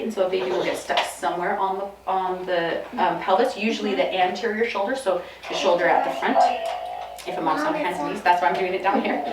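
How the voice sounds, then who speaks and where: A woman with a soft, gentle voice casually explaining and telling stories to a group as a midwife. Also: mid-range voice. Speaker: midwife leading a group prenatal session. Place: midwife care space with group gathered. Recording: echoey, noisy room, distant mic.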